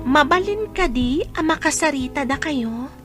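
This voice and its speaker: Woman playing timid young daughter, high voice, Filipino accent, respectful, radio drama. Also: expressive, normal speed, over music. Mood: nervous, hesitant. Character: hesitant, respectful young daughter confronting her mother.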